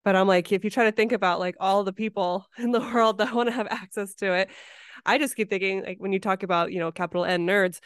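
A woman's voice: medium pitch, fairly even intonation, quick pace, clear, resonant tone, dry recording, studio quality. A woman hosting a podcast, speaking in an informal, chatty interview style. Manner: informal, conversational. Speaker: podcast host. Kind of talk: casual interview chat.

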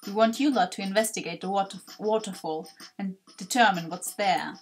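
A woman voicing a bossy, businesslike bird who gives out orders in a video game, formal and brisk. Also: mid-range voice, faint music behind, pitched below the performer's own voice, close to an everyday voice. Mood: stern, businesslike.

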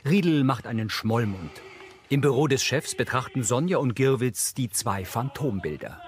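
A man's voice: medium pitch, lively intonation, normal speed, a little hiss or hum. A man giving neutral, factual scripted scene narration in the present tense for a crime series.

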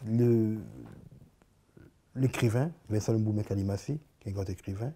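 Halting Congolese man with a dark voice. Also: lowish voice, slightly rough. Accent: Congolese French. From Congo (Kinshasa). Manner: halting, many repeated words and restarts, animated hand gestures. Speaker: artist guest. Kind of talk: interview answers. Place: living room, indoors.

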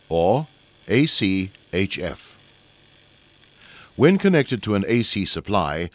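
A man with a fairly low voice narrates technical training material. His delivery is formal and measured, yet the pitch moves widely from phrase to phrase. The sound is close and dry, like a studio voice-over. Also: no echo. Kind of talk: scripted instructional narration.

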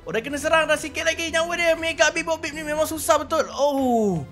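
A man with a Malaysian accent giving excited, animated gameplay commentary with roleplay, full of exclamations and drawn-out words. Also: very high-pitched, faint music behind. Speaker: gaming YouTuber.

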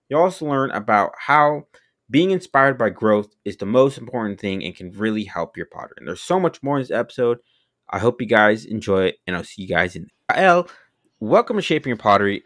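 A man's voice: mid-range voice, fairly bright tone. A young man hosting a pottery podcast, upbeat and friendly as he opens the show and asks questions. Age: young adult. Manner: upbeat, friendly intro patter. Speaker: podcast host. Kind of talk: casual podcast interview. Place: small indoor room. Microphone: large studio mic on boom arm.

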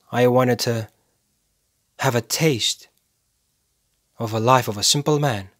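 An actor playing a cold, self-righteous serial killer in a dramatic film monologue, speaking deliberately and with menace, pausing for effect. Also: male voice, mid-range voice, fairly bright tone, no echo, studio quality. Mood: quiet menace. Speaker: actor performing a movie monologue.

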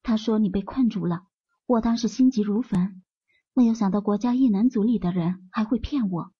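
A woman playing an earnest, anxious young wife with a husky voice in an audiobook drama. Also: medium pitch, moderate pace, muffled recording. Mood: anxious, indignant.

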